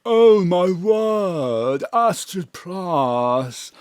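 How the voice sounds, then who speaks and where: An actor playing a suave, starstruck middle-aged cat whisperer in an audio drama, with a gushing, drawn-out delivery. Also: male voice, high voice, sing-song, clear, resonant tone, dry recording, full character voice.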